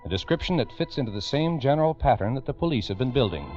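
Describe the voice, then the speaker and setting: A man's voice: mid-range voice, animated, fast, over loud music. A man narrating a scripted old-time radio crime story in a formal, dramatic way. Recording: muffled old radio recording.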